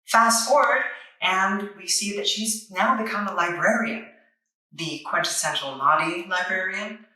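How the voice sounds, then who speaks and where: A woman's voice: mid-range voice, measured pace, pushed and bright. A woman narrating a scripted comic book review in a wry, tongue-in-cheek, playful way. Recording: echoey room, distant microphone.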